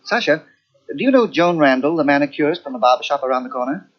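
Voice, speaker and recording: Man as calm probing detective, lively pitch, lo-fi old radio recording. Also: fast talker, close to an everyday voice. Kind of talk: 1940s radio acting.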